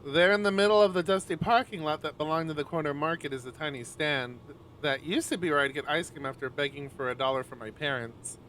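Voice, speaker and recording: A man livestreaming a visual novel, mixing expressive, sweary casual chat with reading the narration aloud. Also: fairly high pitch, fast talker, few pauses, a little hiss or hum.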